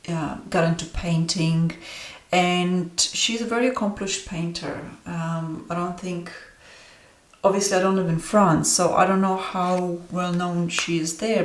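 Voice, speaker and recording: A woman's voice: on the low side, reverberant. A woman whose first language is Romanian, talking casually through a tarot deck review with many fillers and self-corrections. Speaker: tarot reader. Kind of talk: casual deck review while showing cards.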